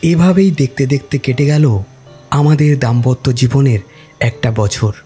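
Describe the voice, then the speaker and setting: A man telling a first-person ghost story for a cartoon, calm and reflective. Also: mid-range voice, unhurried, faint music behind.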